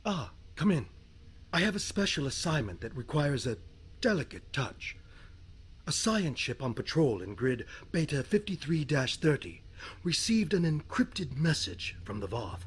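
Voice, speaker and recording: An actor playing a calm military officer giving a measured, authoritative briefing in game dialogue. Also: male voice, on the high side, husky, exaggerated voice.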